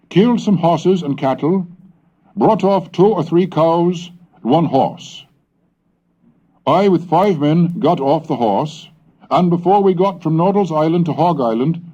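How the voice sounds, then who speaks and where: A man giving a measured, deliberate reading of an old diary aloud, slowing on the dates. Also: fairly high pitch, mellow, slightly rough. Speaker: reader of historical texts. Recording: dull lo-fi 1970s cassette recording.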